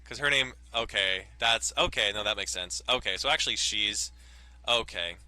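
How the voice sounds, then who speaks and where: A man's voice: lowish voice, normal speed, bright, forceful tone, no room sound. A man hosting a let's play, chatting casually, joking and swearing, and reading visual novel text aloud. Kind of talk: casual gaming commentary with read-aloud narration.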